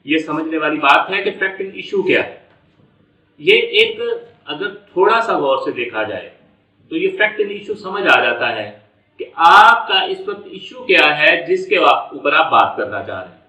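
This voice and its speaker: A man's voice: on the high side, bright, forceful tone. Echoey, distant Pakistani man lecturing on law. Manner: repetitive, rephrasing step by step. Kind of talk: explanatory lecture, mixing in English legal terms. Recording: echoey room, distant dull mic.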